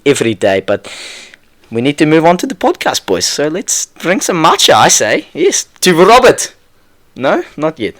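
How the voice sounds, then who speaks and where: A man voicing a comic fake prince, telling tales in a rambling deadpan way. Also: medium pitch, dry recording, natural voice. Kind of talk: comedy podcast bit.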